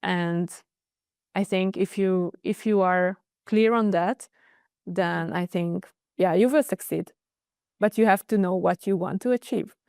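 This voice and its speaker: Woman guest, lowish smooth voice, earnest and determined. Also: unhurried, darkish tone, no echo, studio quality. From Hungary. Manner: earnest and determined, with some hesitations. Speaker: guest, internal audit director. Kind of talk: interview answers.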